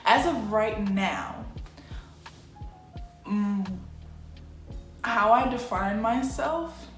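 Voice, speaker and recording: A twenty-year-old American woman from Chicago chats casually to camera as a vlogger, slangy and often interrupting herself. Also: mid-range voice. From Chicago, United States. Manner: informal, self-interrupting, slangy. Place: small plain-walled room indoors. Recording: echoey room with music underneath. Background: music.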